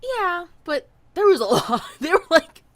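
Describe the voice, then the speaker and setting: A woman telling a personal story in a casual, animated, chatty way, acting out bits of dialogue as she goes. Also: extremely high pitch, measured pace, no echo.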